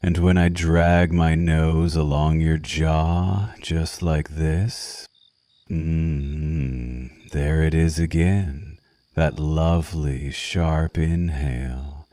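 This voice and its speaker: Man playing a very deep, slow seductive vampire. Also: fairly even intonation, warm tone, no room sound, heavily acted. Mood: teasing, intimate. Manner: languid, teasing, purring endearments. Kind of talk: ASMR roleplay, intimate slow murmur.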